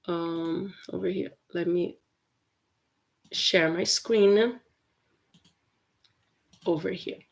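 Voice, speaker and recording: A woman's voice: fairly low pitch, fairly even intonation, extremely slow, vocal fry, pauses often. A woman from Brazil whose first language is Portuguese, teaching English in a chatty live stream with many fillers and restarts. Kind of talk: casual livestream lesson.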